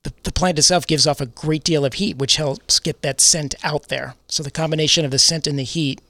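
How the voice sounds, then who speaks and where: New male co-host, bright and a bit high, dry studio sound; casual, wry explainer. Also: fairly even intonation, brisk, no room sound. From New York. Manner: explanatory, good-humored, fond of wry jokes. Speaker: radio garden show co-host. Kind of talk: casual radio chat. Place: radio studio.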